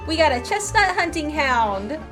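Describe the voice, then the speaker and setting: A woman's voice: high voice, big pitch swings, moderate pace. A woman doing casual, playful gameplay commentary as a streamer, chatting away to herself. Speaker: game streamer. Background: game music.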